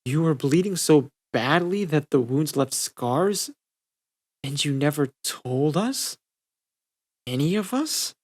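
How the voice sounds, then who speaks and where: A reader voicing a timid, soft-hearted teenage girl with a wavering, hurt voice in a cartoon fan story. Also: mid-range voice, animated, takes it slowly.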